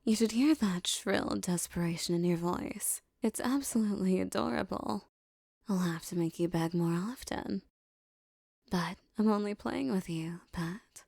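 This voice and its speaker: A woman playing a regal, possessive empress who coaxes and teases a captive servant in soft, condescending tones, often near a whisper, in an intimate roleplay audio. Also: medium pitch, measured pace, creaky, no echo, studio quality. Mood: amused, soothing.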